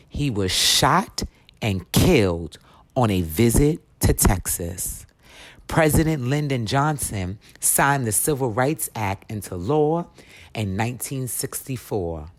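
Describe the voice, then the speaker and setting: A woman reading a history book for children aloud as expressive storytelling, with deliberate, emphatic, dramatic phrasing. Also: extremely low pitch, no room sound.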